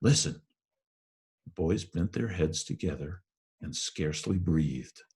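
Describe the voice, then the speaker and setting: A very low-pitched man reads classic fiction aloud. His voice is strongly husky and rough, and he tells the story in a measured, expressive way. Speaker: reader. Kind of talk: audiobook-style narration of a classic novel.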